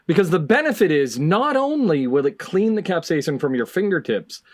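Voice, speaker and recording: A man hosting a casual comedic panel quiz, explaining things in a halting, mock-scientific way. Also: on the high side, big pitch swings, dry recording, clean studio sound. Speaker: quiz show host.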